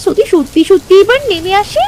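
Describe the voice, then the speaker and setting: Very high-pitched little village girl. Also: a little fast, a little mellow, slight background noise. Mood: frightened. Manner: childlike, wheedling, fretful. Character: little village girl, eager, timid younger sister. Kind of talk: Bengali cartoon story voice acting. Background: music and rain.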